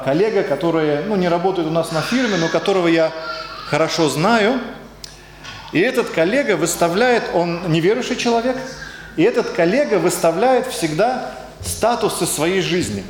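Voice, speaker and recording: A man's voice: on the high side, slight background noise. A man preaching a conversational sermon in speech, hesitant and searching for words, and putting questions to his congregation. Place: church hall. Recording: echoey hall with faint ambience.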